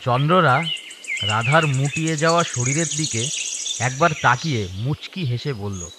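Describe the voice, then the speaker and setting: Guy narrating a story from a script, normal mid pitch voice. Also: moderate pace, very noisy.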